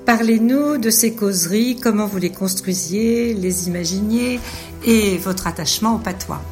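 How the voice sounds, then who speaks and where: A woman's voice: medium pitch, moderate pace, darkish tone. Woman radio host over background music. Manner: prepared, introduces and prompts the guest. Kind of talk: radio interview. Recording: radio studio with music behind.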